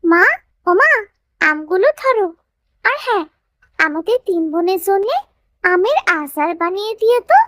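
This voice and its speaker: A woman's voice: extremely high pitch, expressive, a little slow, pauses often, a bit bright, no echo, heavily acted. A woman voicing a caring, anxious young village sister who pleads and fusses in a cartoon story. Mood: worried, pleading.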